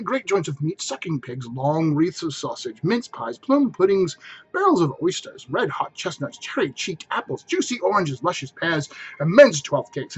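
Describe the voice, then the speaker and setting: A man's voice: a little high, breathy edge, poor audio. A man reading a classic story aloud as narrator, in a theatrical style with emphatic phrasing.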